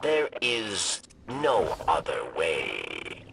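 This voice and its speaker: An actor playing a weary old male insectoid alien rebel in a video game, halting and clipped, with a deep, creaky voice. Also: wildly swooping pitch, noisy background, full character voice. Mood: grave, cautioning. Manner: halting, clipped, speaks of himself in third person. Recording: distant voice under loud game music.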